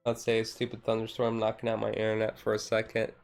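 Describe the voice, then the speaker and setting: A man's voice: fairly low pitch, monotone, fast, creaky, smooth. A man streaming a casual, laid-back ASMR chat in a rambling way, with music behind him.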